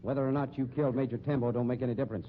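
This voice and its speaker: An actor playing a tough, slangy, commanding sideshow magician and boss in a 1950s radio drama. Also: male voice, mid-range voice, steady tone, very fast, natural voice. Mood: hard, urgent. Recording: muffled, noisy 1950s radio recording.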